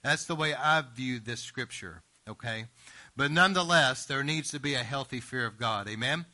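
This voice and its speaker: A pastor preaching an earnest, emphatic sermon, often checking in with the congregation. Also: male voice, mid-range voice, moderate pace, bright, forceful tone. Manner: earnest, emphatic preaching with frequent "Amen?" asides.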